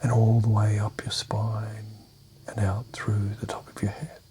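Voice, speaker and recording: Slow, low, soft-spoken male meditation guide. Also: a little hiss or hum. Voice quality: soft, breathy, half-whispered. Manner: calm, gentle, soothing, with long pauses between short phrases.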